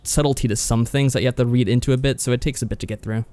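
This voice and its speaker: A man co-hosting a podcast in a casual review chat, speaking in an agreeable, measured way. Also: medium pitch, steady tone, mellow, no room sound. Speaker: podcast co-host.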